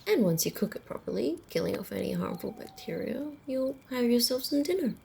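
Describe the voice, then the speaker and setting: A woman playing a centuries-old female vampire in an ASMR roleplay, wry, teasing and casually menacing, in a soft, breathy, often whispered voice. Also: on the high side, very animated, slight background noise. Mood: dry, teasing. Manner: unhurried, amused, conversational.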